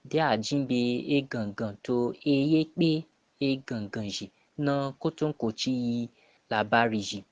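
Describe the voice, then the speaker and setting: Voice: medium pitch, unhurried, a little mellow, dry recording, lo-fi sound. A person giving a spoken answer as an academic contributor to a program. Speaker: academic answering a monthly question.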